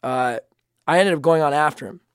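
A man's voice: a little high, a little fast, smooth, no room sound, studio quality. A man who is a podcast guest, talking in a comedic casual chat with teasing, joking remarks and playful swearing.

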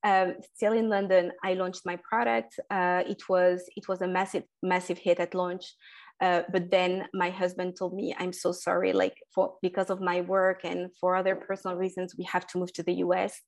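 Woman in her 30s on an interview, lowish voice, lots of restarts and ums, laughs a lot. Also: level tone, creaky. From France. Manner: halting, many restarts and fillers, laughs often. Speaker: guest, food entrepreneur. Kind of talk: casual interview.

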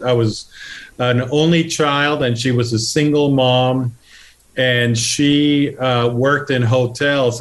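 Middle-aged guest, mid-pitched, earnest storyteller with restarts. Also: male voice. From Puerto Rico. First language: Spanish. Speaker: guest, political candidate. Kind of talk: conversational interview.

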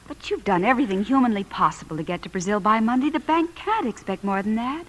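A woman's voice: fairly high pitch, lively intonation, fast, natural voice, rough recording. An actress playing a loving, worried young wife in a 1950s radio drama, earnest and probing with her husband. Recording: 1950s radio broadcast, faint noise.

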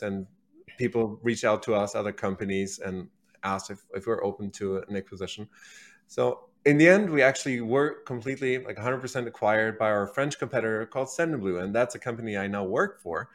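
Male executive guest, thirties, German accent, lowish voice, relaxed conversational interview storytelling. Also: brisk. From Berlin, Germany. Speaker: podcast guest, company executive.

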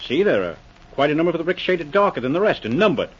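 Brusque, exasperated police inspector. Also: male voice, fairly high pitch, sing-song, quick pace, husky. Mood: urgent. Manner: brusque, commanding, sardonic. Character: gruff, impatient police inspector, exasperated with his partner. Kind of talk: 1940s radio acting. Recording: muffled, faintly noisy 1940s radio recording.

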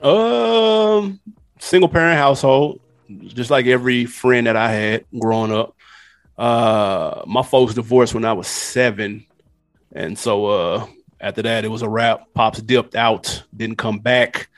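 A man's voice: mid-range voice, a little slow. A middle-aged American man from Nashville, a podcast guest telling stories in a candid, slangy, casual way. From Nashville, USA. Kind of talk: casual storytelling chat. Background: music.

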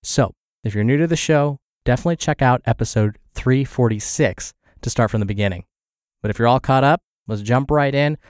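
A man's voice: mid-range voice, fairly quick, a little mellow, no room sound, studio quality. A man hosting a podcast and reading a health blog aloud, sounding congested and scratchy from the flu. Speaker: podcast host and narrator.

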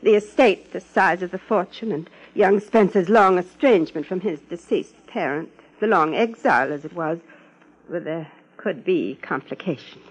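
An actress playing a prim, careful law-office secretary in a 1950s radio drama, speaking formally with a husky voice. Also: female voice, fairly low pitch, moderate pace, low-quality recording. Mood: hesitant, careful.

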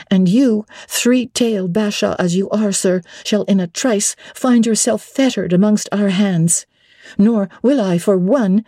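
A woman reading a classic novel aloud, playing a spirited, defiant young English governess with a brisk, emphatic manner. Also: mid-range voice, dark tone, no echo, studio quality. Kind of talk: dramatic classic audiobook reading.

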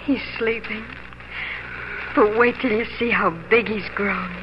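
A woman's voice: medium pitch, fairly even intonation, unhurried, background noise, natural voice. A woman acting a hopeful young pioneer wife and mother in a 1940s radio drama, tender, dreamy and earnest. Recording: dull 1940s radio recording, music behind.

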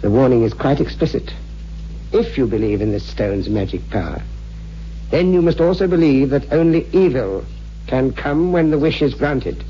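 An actor playing a kindly, learned friend in a 1960s radio horror drama, explaining and cautioning in a measured, gentle manner. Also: male voice, medium pitch, lively intonation, natural voice. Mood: grave, cautioning. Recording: muffled old radio recording, faint hum.

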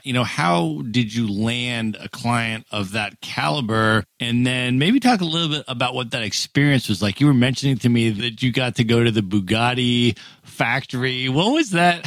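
Mid-pitched male interviewer asking questions. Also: expressive, normal speed, hardly any pauses, fairly bright tone, no room sound. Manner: prompting, conversational questions. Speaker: podcast host.

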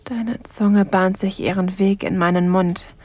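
A woman's voice: medium pitch, steady tone, a little mellow, close to an everyday voice. An actress playing a playful, sensual woman on holiday, narrating intimately in an audio drama and slipping into whispers. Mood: aroused, intimate. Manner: breathy, slow, sensual delivery slipping into whispers.

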